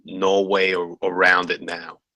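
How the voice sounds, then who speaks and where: An actor playing a lazy, jaded campus security guard in a comedy table read, deadpan and offhand, with a gravelly voice. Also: male voice, low-pitched, measured pace, no room sound. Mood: dry. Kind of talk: comedy script read aloud.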